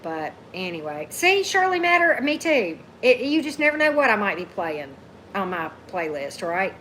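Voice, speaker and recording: A woman's voice: very animated, moderate pace, fairly bright tone. A woman chats through a livestream. She talks casually, answers viewers by name and often ends a thought with "right?". A faint hiss of background noise sits under her voice. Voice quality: deep voice. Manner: chatty, keeps asking "right?", greets commenters by name. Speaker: tarot reader hosting a livestream.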